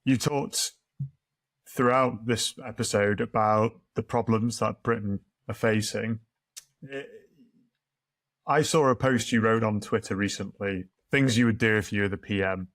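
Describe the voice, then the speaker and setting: A man hosting a podcast interview, asking measured questions and reading points out one by one. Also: fairly low pitch, fairly even intonation, fairly bright tone, no echo. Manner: measured, reads points out item by item. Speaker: podcast host.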